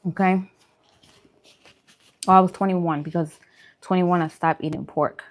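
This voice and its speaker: A woman's voice: fairly low pitch, steady tone, measured pace. A woman talking informally in a casual vlog, giving advice and repeating points for emphasis. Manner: conversational, informal explaining. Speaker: food and wellness vlogger. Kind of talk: casual mukbang chat giving diet advice.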